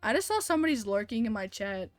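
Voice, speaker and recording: A woman's voice: a little high, very animated, fast, no echo. A woman chatting casually on a live game stream, making short, informal, reactive remarks. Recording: music behind the voice.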